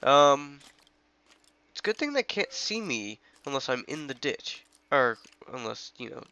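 A congested young man talking through a game on a headset mic, chatty and rambling, with sudden excited outbursts. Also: mid-range voice, measured pace. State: congested from illness. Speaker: gaming channel host. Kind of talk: casual let's play commentary.

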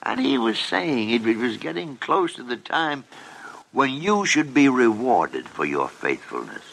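An actor playing a gruff, crafty old senior doctor in a 1950s radio drama, halting and repeating himself emphatically. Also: male voice, medium pitch, big pitch swings, fairly bright tone, full character voice, rough recording. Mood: fond, coaxing. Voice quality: gravelly.

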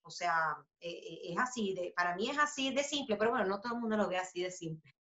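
A woman in her thirties with a Venezuelan accent, answering interview questions in a casual, animated way, with many fillers and restarts. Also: medium pitch, fairly quick. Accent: Venezuelan Spanish. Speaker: interviewee, author and journalist. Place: home room with a book poster. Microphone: video call webcam. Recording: roomy, slightly dull webcam audio.

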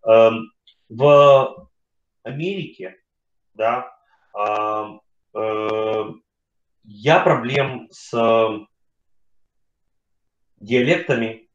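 Middle-aged male webinar speaker, halting casual delivery, webcam sound in an echoey home room. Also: mid-range voice. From Kharkiv, Ukraine. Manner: hesitant, many fillers and pauses. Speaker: webinar speaker, company CEO. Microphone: webcam on video call. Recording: roomy, dull video call audio.

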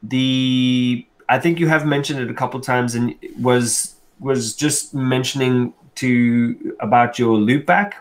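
A middle-aged man with a South African accent co-hosts a casual tech chat over a video call. He rambles and thinks out loud, often restarting his sentences. His voice comes through wired earbuds from an indoor room. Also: medium pitch, fairly even intonation, slow pace, hardly any pauses. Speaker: co-host.

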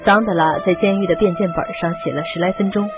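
A woman's voice: lowish voice, steady tone, fast. Woman narrating a radio play, tinny band, music behind her. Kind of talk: scripted radio drama narration. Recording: muffled phone-like radio recording.

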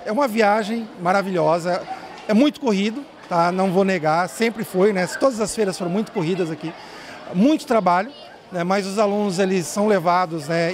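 A man's voice: high-pitched, slight background noise. A middle-aged man interviewed outdoors at night on a handheld mic, chatty and tag-filled. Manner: chatty, laughing, lots of "né?" tags. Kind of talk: on-camera interview. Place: open school courtyard at night. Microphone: handheld reporter mic.

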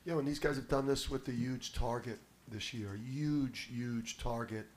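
A man's voice: mid-range voice, normal speed, a little hiss or hum. A middle-aged man, a basketball head coach, answering press questions with confident, emphatic talk and restarts, into a table mic in a press room. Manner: confident, emphatic, repeats words when starting. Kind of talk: postgame press conference answers. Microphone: table mic on a stand.

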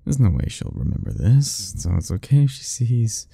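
A man voicing a doting, possessive yandere admirer in soft, husky, intimate murmurs for an ASMR romance roleplay. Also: lowish voice, big pitch swings, no room sound, studio quality, full character voice. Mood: conflicted, yearning. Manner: slow, intimate murmuring, coaxing and self-talking.

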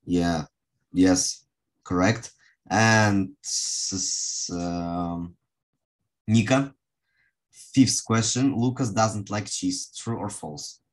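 Slow, low-pitched man teaching. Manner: halting, with long hesitations. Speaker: English teacher. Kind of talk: online group lesson, asking pupils questions. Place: online video call.

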